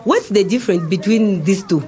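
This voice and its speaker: A woman's voice: mid-range voice, normal speed, lightly acted. A woman with a Zambian accent playing a chatty, inquisitive middle-aged mother in an educational audio drama, exclaiming and asking questions. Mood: curious. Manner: animated, exclaiming, full of questions.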